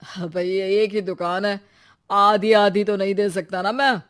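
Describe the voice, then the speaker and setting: A woman voicing a blunt shop landlord in an animated story, matter-of-fact and dismissive. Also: mid-range voice, a little slow, lightly acted.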